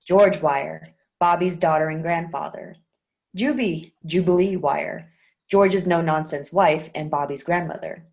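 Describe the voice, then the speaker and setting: A woman with a fairly low voice reads stage directions in a play reading. Her delivery is even and clear, and she takes her time over each line. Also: a little slow. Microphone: video call.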